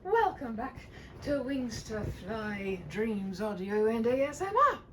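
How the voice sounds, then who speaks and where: A woman hosting a read-aloud, moving between playful chat and dramatic, animated storybook narration. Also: a little high, a little slow, slight background noise. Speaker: channel host reading a classic aloud. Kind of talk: chatty host talk and expressive storybook narration.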